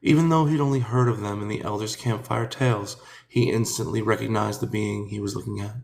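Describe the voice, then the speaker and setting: A man reading a fantasy audiobook in a measured, expressive storytelling manner. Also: fairly low pitch, gravelly. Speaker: narrator. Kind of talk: dramatic fantasy audiobook narration.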